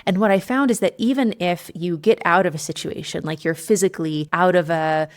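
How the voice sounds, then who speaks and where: A woman from Utah speaks as a podcast guest, earnestly and candidly telling her own story. Her voice sits fairly low, and she fills her talk with casual "like"s. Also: a little fast. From Utah, United States. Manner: warm, earnest, candid, with frequent "like"s. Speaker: executive coach, podcast guest. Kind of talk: personal storytelling in an interview.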